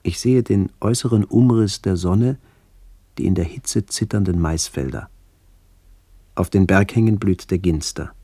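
A man acting in a radio play as a brooding narrator haunted by memories of his lost village, speaking in reflective, halting phrases. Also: low voice, mellow, slightly rough, dry recording, exaggerated voice.

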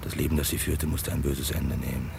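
A very deep, creaky male voice broods through a halting inner monologue as a tormented man in an old radio crime play. He mutters to himself as he wrestles with his conscience, with vehicle noise clearly behind him. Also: little pitch movement, exaggerated voice. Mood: brooding, resigned. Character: brooding, tormented man agonizing over reporting a murderer. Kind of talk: vintage German radio crime play acting.

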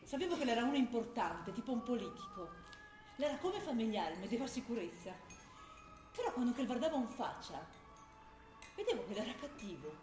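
A troubled young militant woman, speaks in a confiding, dialect-tinged way. Her voice sits a little high. The room is echoey, with faint music underneath. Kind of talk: radio broadcast of a stage play.

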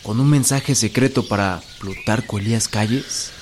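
A man's voice: fairly low pitch, moderate pace, noisy background, close to an everyday voice. A man playing a dutiful military aide reporting to his general in a radio drama. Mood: deferential. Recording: music behind the voice.

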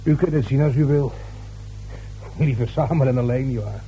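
An actor playing a gossipy, grumbling caretaker in a radio play, hesitant and repeating himself. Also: male voice, mid-range voice, moderate pace, muffled recording, lightly acted. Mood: uneasy, grumbling. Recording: old radio recording with faint hum and noise.

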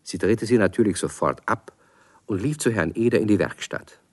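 A man narrating a scripted children's radio play in an expressive storytelling manner. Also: fairly low pitch, normal speed. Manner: expressive, clearly phrased.

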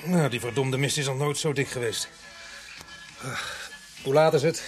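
An actor playing a grumbling, greedy police sergeant who is bossy but soft-hearted, hesitating and repeating his words in a radio play. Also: medium pitch, normal speed, faint music behind, close to an everyday voice. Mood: gruff, brisk. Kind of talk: radio drama acting.

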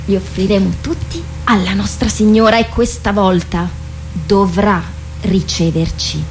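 An actress in a radio drama playing a boastful, impatient teenage warrior girl, bossy and excitable. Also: mid-range voice, a little slow, faint music behind, natural voice. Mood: impatient, taunting.

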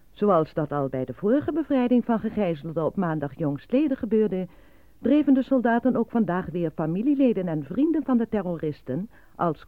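A woman's voice: medium pitch, no echo, close to an everyday voice. A woman acting as a formal, matter-of-fact newsreader reading a bulletin in a radio drama. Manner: formal, measured announcing. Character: matter-of-fact female newsreader reporting grim news. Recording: dry, thin phone-like radio bulletin.

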